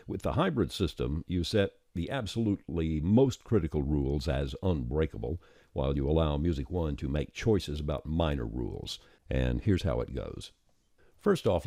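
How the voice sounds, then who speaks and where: A man with an extremely low, rumbling voice presents a software tutorial. His delivery is mellow yet lively in intonation, with folksy, informal turns of phrase. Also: no echo, studio quality. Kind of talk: scripted software tutorial narration.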